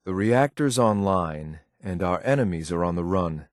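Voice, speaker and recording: A cold, dutiful male synth agent from a video game in a low-pitched voice. The delivery is clipped, formal and deadpan, measured throughout. The recording is very dry and close. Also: dry recording. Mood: stern, detached. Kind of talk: scripted video game companion lines.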